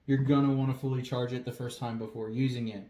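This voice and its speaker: A man's voice: medium pitch, little pitch movement, fast. A man giving a casual product review, explaining features as he demonstrates them. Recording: roomy echo with faint noise.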